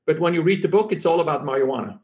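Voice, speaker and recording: A man's voice: fairly high pitch, very fast, a little mellow, dull audio. German-accented man, self-assured guest. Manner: earnest, self-assured, restarts phrases often. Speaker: physician guest.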